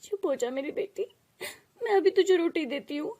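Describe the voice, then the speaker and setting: A woman voicing a gentle, protective widowed mother in a family story, plaintive and pleading. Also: very high voice, lively intonation, normal speed, dull audio, pitched above the performer's own voice. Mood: worried, tender.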